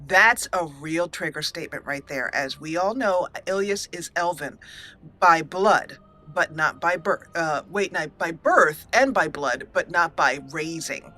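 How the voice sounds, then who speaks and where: Bright-voiced woman chatting over a game. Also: sits low, expressive, unhurried. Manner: chatty, hesitant, fillers and self-corrections. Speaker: let's play host. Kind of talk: casual role-play commentary.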